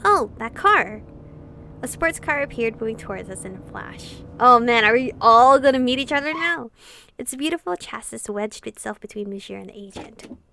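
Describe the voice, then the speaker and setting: A woman's voice: fairly high pitch, normal speed, vocal fry, slight background noise. A woman hosting a let's-play, reading visual novel dialogue aloud and reacting theatrically with plenty of swearing. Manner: expressive, foul-mouthed, theatrical reactions.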